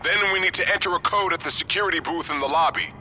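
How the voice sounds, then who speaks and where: A man's voice: on the high side, fast talker, lightly acted. An actor playing a cautious, businesslike male mercenary soldier in a video game, terse and practical. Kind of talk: video game cutscene voice acting. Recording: noisy battle ambience with gunfire and vehicles.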